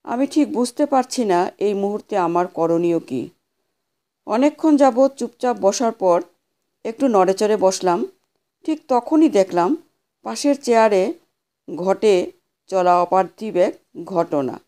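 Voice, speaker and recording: A woman reads a scripted ghost story in a soft, dark-toned voice. She sits at a middling pitch and delivers the tale in a measured, dramatic way, as an audio storyteller would. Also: lively intonation. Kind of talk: scripted horror story narration.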